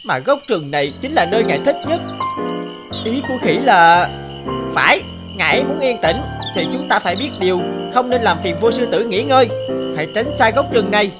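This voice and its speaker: A woman's voice: mid-range voice, lively intonation, fairly quick, close to an everyday voice. A woman voicing grumbling, sly forest animals in a children's cartoon, over music. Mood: deferential, sly.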